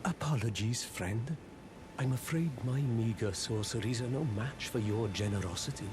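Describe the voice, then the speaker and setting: An actor playing a humble, hapless apprentice sorcerer in a video game, apologetic, self-deprecating and hesitant. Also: male voice, mid-range voice, moderate pace, noisy background, natural voice. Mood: meek, grateful. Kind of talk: video game character dialogue.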